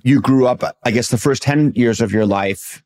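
A man's voice: medium pitch, normal speed, a little mellow. A man hosting a podcast, reading a polished scripted introduction and then asking courteous interview questions. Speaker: podcast host.

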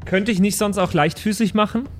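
A man's voice: sits high, fairly even intonation, fairly quick, soft background music. A man chatting casually as a player in an improvised pen and paper audio play.

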